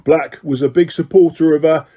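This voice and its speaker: A man voicing an excitable young male bus conductor, gossipy and dramatic in a storybook reading. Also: on the high side, normal speed, natural voice.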